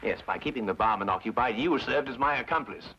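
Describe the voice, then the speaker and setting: An actor playing a brilliant, coolly logical Victorian detective with a precise British delivery in an old-time radio drama. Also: male voice, medium pitch, fast, close to an everyday voice. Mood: brisk, sly. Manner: precise, assured, drily deductive. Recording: dull, noisy old radio drama recording.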